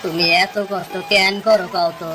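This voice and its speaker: A woman's voice: medium pitch, steady tone, moderate pace, music in the background, lightly acted. A woman voicing a poor, steadfast village fisherman with an East Bengali accent, encouraging and philosophical. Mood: hopeful, reassuring.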